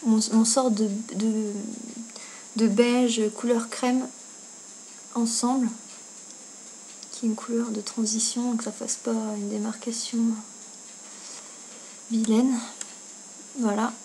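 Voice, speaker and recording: A woman talks through a makeup tutorial in an informal way, as if thinking aloud while she works. Her voice sits fairly high. It is a homemade indoor recording with some faint noise behind her. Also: level tone, slow pace, pauses often, dark tone, rough recording. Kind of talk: casual step-by-step tutorial chat. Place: indoors at home. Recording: noticeable background noise, amateur home video.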